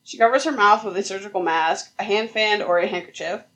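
A woman's voice: mid-range voice, a little fast, a bit bright. A woman co-hosting a podcast, telling a legend in a casual, rambling way and laughing often mid-sentence. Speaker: podcast co-host. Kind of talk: casual storytelling chat.

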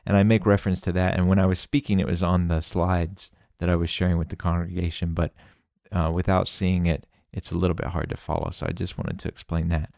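A man from America opens his podcast in a relaxed, explanatory tone. His voice sits very low, recorded close and dry. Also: fairly quick, creaky, no room sound. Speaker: podcast host. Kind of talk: casual podcast intro.

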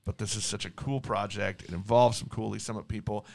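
A man hosting a local podcast, chatty and lively, often repeating words as he talks. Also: lowish voice, brisk, creaky. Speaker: podcast host. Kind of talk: casual podcast chat with ad read.

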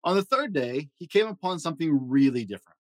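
A man reading a children's fairy tale aloud in a gentle storytelling manner. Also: animated, fast, no echo. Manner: gentle storytelling with varied pitch for emphasis. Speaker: storybook reader.

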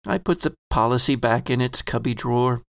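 A man performs a kindly middle-aged landlord speaking his thoughts in a quiet, reflective monologue. The voice sits in a gravelly register, and the recording is dry and close. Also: mid-range voice, a little fast, no room sound, natural voice. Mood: resolute. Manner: soft reflective first-person monologue.

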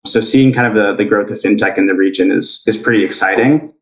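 A young man with an American accent, answering interview questions in a relaxed way with lots of "like" and "kind of". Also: lowish voice, a little fast, vocal fry, echoey room, distant mic, rough recording. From grew up in Indonesia. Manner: relaxed, uses "like" and "kind of" a lot. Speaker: guest.

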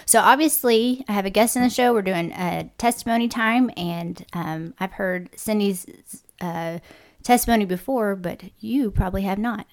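A woman's voice: mid-range voice, moderate pace, few pauses. A woman hosting a faith podcast, chatty and friendly, laughing easily with her guest. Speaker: podcast host. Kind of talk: casual faith podcast interview.

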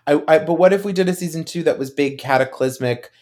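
A man chatting casually as a podcast panelist, animated, joking and swearing freely. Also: a little high, quick pace. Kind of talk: casual chat.

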